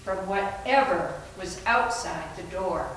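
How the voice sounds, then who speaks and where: Slow woman narrator, very echoey room. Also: on the low side, animated, a bit bright. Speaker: narrator in readers theater. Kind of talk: dramatic scripted narration. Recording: very echoey room, distant mic, background noise.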